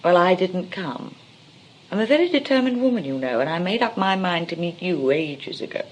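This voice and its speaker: An actress as a flirtatious society woman in a twenties stage comedy. Her voice swoops up and down with a soft husky breathiness. She is coy and gushing, with an archly polite manner that turns readily indignant. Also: fairly low pitch, animated, normal speed. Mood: coy admiration. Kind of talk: 1920s English comedy of manners stage acting.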